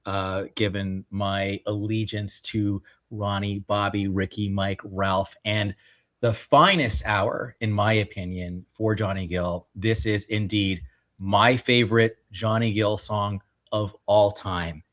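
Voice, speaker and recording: Enthusiastic low-voiced man on a podcast. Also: fairly even intonation, a bit bright. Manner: enthusiastic, drawn-out emphasis when praising. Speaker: podcast co-host giving his ranking. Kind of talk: casual podcast chat.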